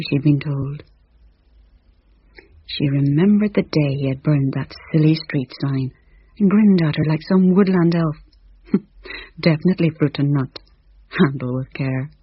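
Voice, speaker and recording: A man's voice: fairly high pitch, breathy edge, no echo. A man narrating a radio drama in a wry, gossipy storytelling manner. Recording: dry, dull radio recording.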